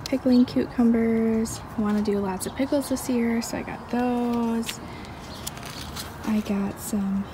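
A woman's voice: on the high side, noisy background. A young adult woman giving casual vlog narration as a homemaker. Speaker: homemaking vlogger.

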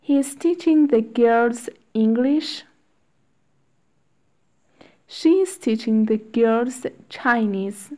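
A woman reading short English phrases aloud one at a time, with long pauses for learners to repeat. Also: on the high side, a little mellow. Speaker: English teacher. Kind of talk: slow read-aloud drill for learners.

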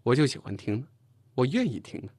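一位男演播者在有声书里演一个倔强、说话干脆、带点嘲弄的年轻男记者。. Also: male voice, medium pitch, sing-song, brisk, gravelly, darkish tone, husky, no echo, heavily acted. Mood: irritated, firm. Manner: firm, wry. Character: stubborn, gruff young male newspaper reporter. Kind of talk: audiobook character dialogue.

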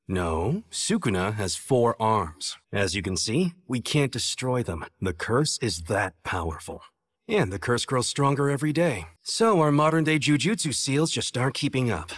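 A man's voice: mid-range voice, no echo, studio quality. An American man playing a cocky, playful young sorcerer teacher in an English anime dub, with a breezy manner.

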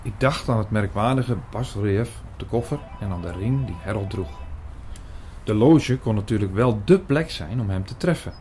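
A man narrating a radio play in the first person, measured and literary in his storytelling. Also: on the low side, animated, darkish tone, soft background music. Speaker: narrator and main character.

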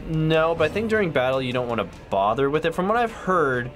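A young man with a slightly hoarse voice, chatting casually while playing a video game as host. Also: fairly high pitch, big pitch swings, fairly quick, music in the background. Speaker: let's play host. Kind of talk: casual gameplay chat.

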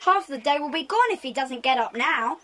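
Very high, breezy English youngster. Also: female voice, expressive, a little fast, fairly bright tone, slight background noise. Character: breezy, lively schoolchild on a camping adventure. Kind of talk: children's audio drama acting.

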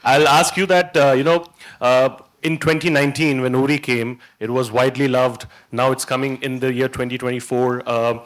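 A man speaks in a medium pitch. He is a journalist framing questions at a press event. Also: little pitch movement, moderate pace, fairly bright tone.

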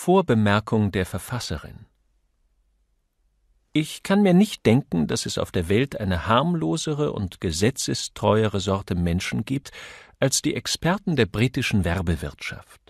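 A man's voice: lowish voice, very animated, measured pace, creaky, no room sound, studio quality. A man reading a crime novel aloud as scripted audiobook narration.

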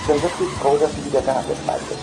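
A man's voice: a little high, fast talker, loud music behind the voice, lightly acted, low-quality recording. A man voicing a loyal, concerned talking computer car with precise, formal manners in a German radio play.

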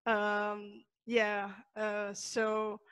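A young woman from Peru, a Spanish native speaker with a Peruvian accent, gives an online lecture over slides, pausing often with fillers and restarts. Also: medium pitch, monotone, fairly bright tone, clear, resonant tone, no echo. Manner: thoughtful, many fillers and restarts. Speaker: webinar presenter.

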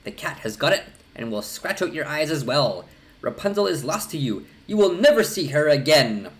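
A man's voice: a little high, very animated, normal speed, pitched above the performer's own voice. A man voicing a stern, mocking old enchantress in a storybook, with a commanding, dramatic manner. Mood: mocking, gloating.

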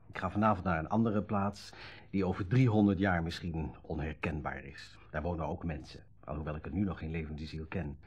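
Radio drama: thoughtful young man, deep and breathy, modest, slightly muffled vintage sound. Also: darkish tone. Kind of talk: Dutch radio play acting, naturalistic dialogue. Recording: dull old radio recording.

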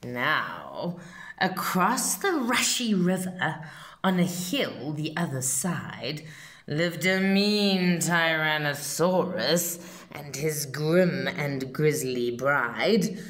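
A woman reading a children's story aloud with expressive, dramatic emphasis and deliberate phrasing. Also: low voice. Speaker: storybook reader. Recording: roomy, echoing home recording.

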